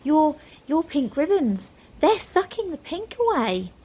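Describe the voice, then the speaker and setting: A woman's voice: high voice, animated, normal speed, voice pushed up. Woman playing a bossy, urgent adventurer girl. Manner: blunt, urgent, commanding. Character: bold, bossy, no-nonsense female adventurer.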